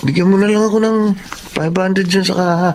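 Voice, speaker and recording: An actor with a Filipino accent playing a spoiled, manipulative young boyfriend who sweet-talks in a radio drama. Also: male voice, sits high, steady tone, a little fast, slight background noise, natural voice. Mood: sweet-talking.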